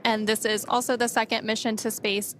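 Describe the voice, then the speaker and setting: A woman's voice: a little high, little pitch movement, a little fast, fairly bright tone, slight background noise. A woman giving explanatory live commentary on a space mission broadcast, partly reading from prepared notes.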